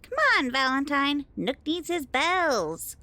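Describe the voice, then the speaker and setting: A woman voicing an excitable, cheeky magical sidekick in an audio drama, chattering and exclaiming over noise. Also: high-pitched, slow, no room sound, full character voice.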